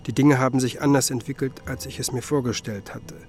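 An actor playing a pensive musicologist who tells his story in a literary radio drama, reflective and measured. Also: mid-range voice, fairly even intonation, darkish tone, soft background music, natural voice. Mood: wistful, musing. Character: pensive male musicologist, wistful first-person storyteller.

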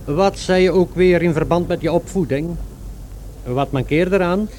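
A man playing a calm, reasonable companion who asks measured, probing questions in a radio play. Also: natural voice. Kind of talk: radio play acting, conversational. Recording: noisy radio play with vehicle rumble.

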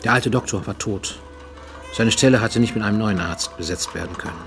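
An actor playing a brooding Japanese man on the run, confessing in a husky, intense radio play monologue that drops to near-whispers. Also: fairly low pitch, a little fast, fairly bright tone, a little hiss or hum. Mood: defensive, weary.

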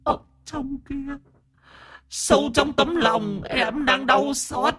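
A man's voice: extremely high pitch, takes it slowly, sharp tone, voice pushed up, full character voice. A man voicing a melodramatic shadow ghost that calls itself a woman, sobbing and singing its pleas like a folk-opera lament. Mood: whimpering plea.

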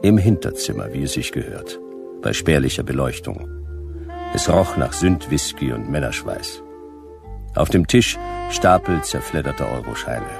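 A man's voice: rumbling low, very animated, moderate pace, gravelly, over music, heavily acted. A man playing a laconic, sardonic private detective in a noir radio play. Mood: wry narration. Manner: laconic, sardonic, clipped sentences. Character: hard-boiled, dry, wry male private detective.

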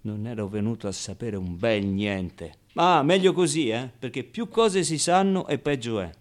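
A man's voice: medium pitch, lively intonation, moderate pace, natural voice. A man playing a naive, streetwise ten-year-old orphan boy in a colloquial theatrical radio monologue. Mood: cheerful, matter-of-fact. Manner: colloquial, ungrammatical, with interjections and rhetorical asides.

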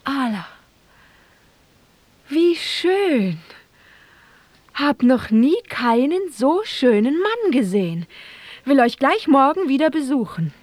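An actress playing a young, forthright, lovestruck sultan's daughter in a rhymed fairy-tale audio drama, with a lilting, theatrical delivery. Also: female voice, sits high, very animated, slow, pauses often, darkish tone, no echo, full character voice. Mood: admiring.

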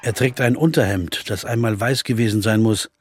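An actor playing a determined jazz pianist who narrates in the first person and speaks in scenes of a radio play. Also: male voice, on the low side, moderate pace, noisy background, lightly acted. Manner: urgent, persuasive.

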